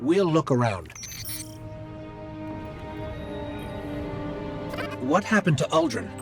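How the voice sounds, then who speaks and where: Lively, earnest male companion over music. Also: fairly high pitch, moderate pace, gravelly, exaggerated voice. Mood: concerned. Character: earnest, watchful, helpful companion guide. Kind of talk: dramatic video game cutscene acting.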